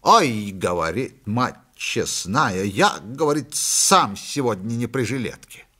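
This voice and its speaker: A man's voice: lowish voice, expressive, takes it slowly, bright, forceful tone, voice pushed down, heavily acted. A man voicing a slangy, earnest male pal handing out absurd advice in a comic story.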